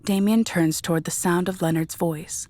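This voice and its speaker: Low, husky woman narrator. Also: fairly even intonation, a little mellow, no room sound. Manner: measured, descriptive storytelling. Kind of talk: scripted narration of a horror audio drama.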